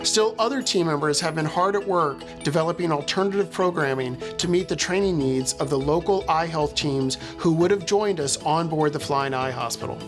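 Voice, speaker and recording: Man hosting over soft music. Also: a little high, moderate pace, hardly any pauses. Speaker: podcast host. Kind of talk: scripted narration and interview. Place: video call for the interview part.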